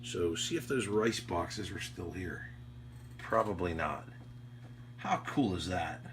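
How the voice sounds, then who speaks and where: A man's voice: deep voice, lively intonation, slow, fairly bright tone. An American man, an amateur radio operator, rambling casually and nostalgically in a reflective, conversational monologue. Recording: clearly noisy audio with hum.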